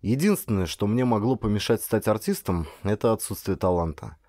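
A man reading a first-person story as an audiobook narrator, in a dramatic, expressive way with varied intonation. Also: fairly low pitch, a little fast, dry recording, clean studio sound.

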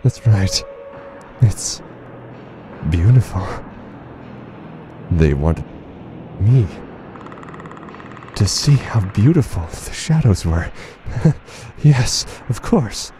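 Man acting very deep, unhinged blinded announcer. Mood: deluded rapture. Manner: theatrical, halting, formal, brooding. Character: unhinged, wounded, blinded male announcer of a dystopia. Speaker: voice actor playing a radio announcer. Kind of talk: dramatic monologue in an audio horror drama. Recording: noisy rumbling ambient bed under the voice.

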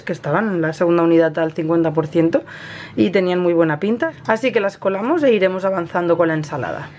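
A woman's voice: fairly low pitch, a little mellow. A woman with an accent from Spain gives a casual, chatty cooking tutorial, explaining each step informally as host. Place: home kitchen, indoors. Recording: kitchen noise, frying and stirring sounds.

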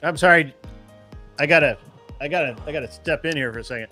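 A man in his fifties on a video call podcast, joking along and cutting in loudly. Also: a little high, quick pace, faint music behind. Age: 50s. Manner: jovial, loud when he cuts in. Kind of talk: casual debate on a video call.

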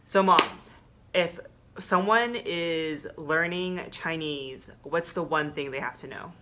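A woman's voice: low-pitched, slow pace, a bit bright. A woman in her thirties with an American accent, playfully hosting a casual language lesson and chatting. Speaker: host and learner. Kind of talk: casual scripted-light language lesson chat. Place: small plain room indoors.

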